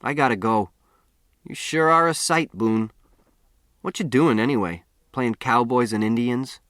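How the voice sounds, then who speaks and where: A man's voice: medium pitch, unhurried, fairly bright tone, no echo, studio quality, natural voice. A man voicing a cocky, mocking small-town American boy in an audiobook, with slangy, challenging delivery. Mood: teasing, baffled.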